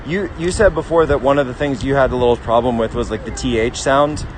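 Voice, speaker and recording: A man's voice: medium pitch, fast talker, crowd noise, rough recording. A man hosting a casual, teasing chat, prompting with question after question. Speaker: host. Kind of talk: casual chat with his wife and viewers.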